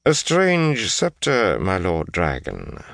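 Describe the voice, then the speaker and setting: A frightened, fawning male servant from a fantasy audiobook, put on by a male narrator. The voice is raised and swings widely in pitch, slippery and pleading, with a babbling, obsequious manner. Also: wildly swooping pitch, slow, creaky, fairly bright tone, dry recording, studio quality, full character voice. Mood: wary, obsequious.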